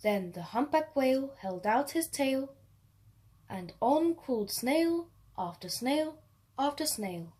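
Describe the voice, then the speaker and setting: A woman reading a rhyming children's story aloud in an expressive, measured way. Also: sits high, slightly rough.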